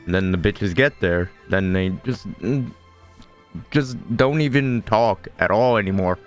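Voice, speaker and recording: A man's voice: lowish voice, measured pace, faint music behind. A man giving casual let's-play commentary as a game streamer, offhand and slangy.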